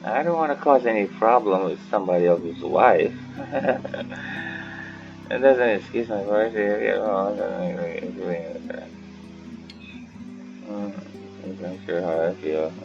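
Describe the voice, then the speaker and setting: Slow, gravelly man muttering game commentary. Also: sits low, lo-fi sound. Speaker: gaming host. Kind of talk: casual playthrough commentary. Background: game music.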